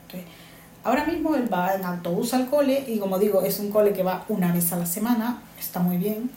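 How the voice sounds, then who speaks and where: A woman talks earnestly and circles back to repeat her points. Her voice is mellow and dark. She sits in a roomy, echoey space with faint ambient noise behind her. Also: mid-range voice, brisk. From Honduras. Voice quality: earnest, repeats herself for emphasis. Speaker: interviewee, migrant mother. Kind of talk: earnest personal testimony.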